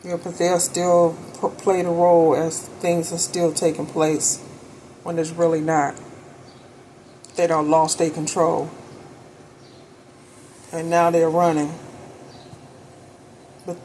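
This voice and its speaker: A woman's voice: low voice, flat delivery, slow, long pauses, dark tone, slight background noise. A woman talking off the cuff in a preachy, conversational way, insistent, in short declarative phrases. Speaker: co-speaker on a spiritual talk video.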